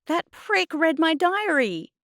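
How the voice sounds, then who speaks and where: A hot-tempered teenage girl as a woman narrator plays her, defiant and indignant. Her voice jumps high and shrill in a storybook quarrel, recorded close and dry. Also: very animated, slow pace, a bit bright, no echo, heavily acted. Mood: outraged. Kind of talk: dramatised audiobook dialogue.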